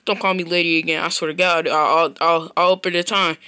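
A man's voice: fairly high pitch, level tone, fast talker, sharp tone, smooth, no echo, pitched below the performer's own voice. A man voicing a stern, short-tempered adoptive mother in a game roleplay, blunt and threatening.

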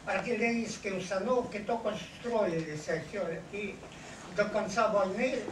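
A man in his eighties or older, a veteran recounting his memories to an audience, haltingly and searching for words. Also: high voice. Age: over 80. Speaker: war veteran giving testimony. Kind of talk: unscripted personal recollection to an audience. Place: meeting hall with seated audience. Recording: echoey hall, noisy, distant camera mic.

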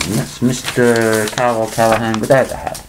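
An older man from England, speaking into a laptop webcam in a small room, rambling and clearing his throat between points. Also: medium pitch, level tone, moderate pace, noisy background. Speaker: vlogger. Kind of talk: rambling conspiracy monologue to webcam.

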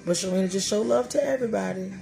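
A woman's voice: mid-range voice, very fast, creaky, soft background music, poor audio. A woman in her thirties hosting a casual faith podcast, talking animatedly and preachily from a small room. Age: 30s. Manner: animated, preachy, talks to herself, laughing asides. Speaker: podcast host. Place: small room, indoors before a dark curtain.

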